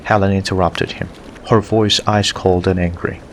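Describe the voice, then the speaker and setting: A man's voice: low voice, flat delivery, normal speed, slight background noise. A man narrating an audiobook story in a scripted reading style.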